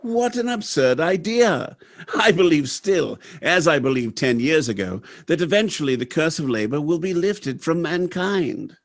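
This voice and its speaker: A man's voice: on the high side, lively intonation, measured pace. A man playing a confident, idealistic factory boss and husband in a radio drama, with a breezy, formal, self-assured manner. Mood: dismissive, idealistic.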